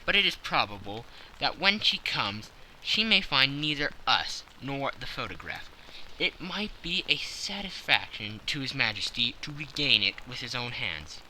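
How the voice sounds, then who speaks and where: A man voicing a confident, analytical gentleman detective who explains things precisely and with self-satisfaction in an audiobook. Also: mid-range voice, sing-song, measured pace, slight background noise, full character voice, poor audio. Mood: brisk, confident. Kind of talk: audiobook character acting.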